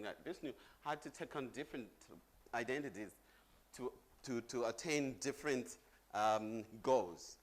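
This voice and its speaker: Man from Zambia giving a conference talk. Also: medium pitch. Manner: chatty, jokes with the audience. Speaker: conference speaker. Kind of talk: conference presentation. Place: conference stage.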